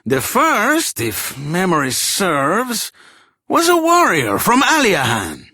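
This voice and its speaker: A man's voice: high-pitched, huge pitch swings, slow, bright, forceful tone, slightly rough, no echo, full character voice. An actor playing a grand, theatrical and generous king in a fantasy video game, with a pompous, florid manner. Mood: solemn, stately. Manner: pompous, florid, dramatic delivery. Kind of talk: scripted fantasy game voice acting.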